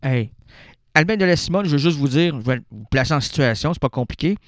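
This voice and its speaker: A man's voice: fairly high pitch, lively intonation, a little fast. A man with a Québécois French accent hosting a radio show, rambling through a playful, absurd monologue and answering his own questions. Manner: playful, rambling, talks to himself. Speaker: radio host.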